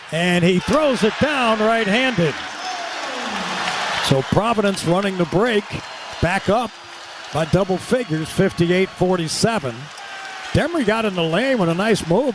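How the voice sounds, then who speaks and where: A man calling live basketball play-by-play for radio in clipped, urgent phrases. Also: high voice. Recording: clear arena noise behind the voice.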